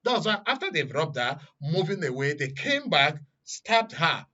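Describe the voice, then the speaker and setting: A man with a Nigerian accent hosting a current affairs discussion in a studio, speaking haltingly as he searches for words. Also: a little high, big pitch swings, pushed and bright. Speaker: studio host. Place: radio and TV studio. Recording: dull, lo-fi broadcast sound.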